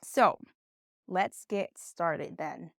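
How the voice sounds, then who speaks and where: A woman's voice: on the low side, drawn-out words, creaky, no echo. Woman teaching English, dry close sound. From Brazil. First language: Portuguese. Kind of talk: conversational online English lesson with personal storytelling.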